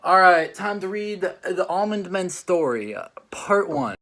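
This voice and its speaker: Casting: male host-judge, slightly high voice, casual reviewer, rambles and hesitates, peppers speech with "like". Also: big pitch swings, a little slow, bright, forceful tone. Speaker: host and judge of a challenge show. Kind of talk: casual chat, reviewing stories.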